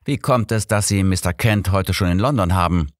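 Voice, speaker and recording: A man voicing an old gentleman detective, formal, brisk and commanding, in an audiobook. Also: low-pitched, no room sound, studio quality, close to an everyday voice. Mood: formal, inquiring. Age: older.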